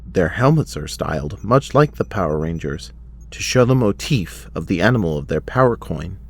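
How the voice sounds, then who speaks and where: A man's voice: low-pitched, animated, normal speed. A man reading scripted dramatic narration as the narrator of an audio drama.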